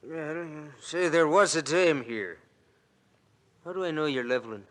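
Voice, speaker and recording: A man's voice: fairly high pitch, expressive, moderate pace, lightly acted. An American actor playing a slangy, wisecracking working-class tough guy who grumbles, in old radio drama style. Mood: grumbling, skeptical. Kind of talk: 1940s-50s radio drama acting. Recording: hissy old-time radio recording.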